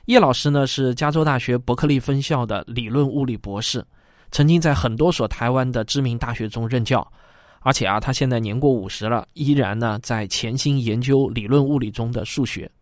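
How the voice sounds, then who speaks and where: A man's voice: mid-range voice, fairly quick, dry recording, studio quality. A man hosting an audio show, speaking to listeners in a presenter's scripted delivery. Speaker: show host. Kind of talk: warm scripted outro to listeners.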